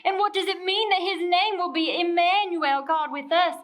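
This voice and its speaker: A man's voice: very high-pitched, steady tone, normal speed, a bit bright, pitched above the performer's own voice. A man voicing a wistful, aging female donkey in a children's story, plaintive and earnest. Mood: puzzled, earnest.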